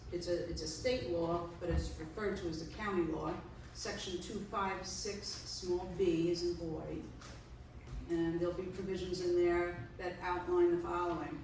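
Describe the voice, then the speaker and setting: A woman's voice: on the low side, darkish tone, slight background noise. A woman on a panel methodically explaining the details of a plan to a public meeting. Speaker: panel expert. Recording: echoey hall, mic far off.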